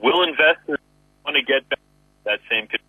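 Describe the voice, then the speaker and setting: A man's voice: mid-range voice, moderate pace, pushed and bright, slightly rough, dry recording. A man giving market commentary as a guest analyst over the telephone. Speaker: wealth manager, guest analyst. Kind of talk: market commentary in a phone interview. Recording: narrow tinny phone line.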